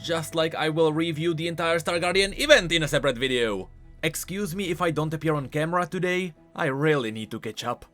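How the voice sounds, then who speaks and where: A man hosting a video game lore explainer, speaking in an animated, emphatic way. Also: on the high side, brisk. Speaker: host of a game lore channel. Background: music.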